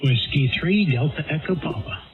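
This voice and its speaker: Higher-voiced man over muffled ham radio. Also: expressive, moderate pace. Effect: radio transmission. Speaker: amateur radio operator. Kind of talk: casual radio chat. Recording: dull, noisy ham radio signal.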